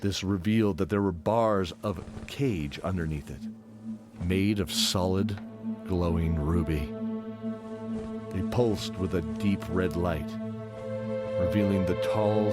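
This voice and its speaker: A man's voice: low voice, slow. A man narrating a scripted fantasy adventure in a dramatic storytelling style. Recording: loud music under voice. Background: music.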